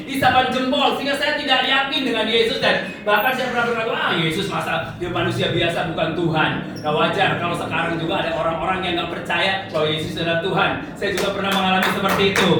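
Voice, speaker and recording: A man from Central Java preaching a personal, conversational sermon, often putting rhetorical questions to his listeners. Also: high-pitched. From Central Java, Indonesia. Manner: conversational, asks the congregation rhetorical questions and tag questions. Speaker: evangelist, guest preacher. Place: large echoey room before a congregation. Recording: echoey hall, distant mic, audience present.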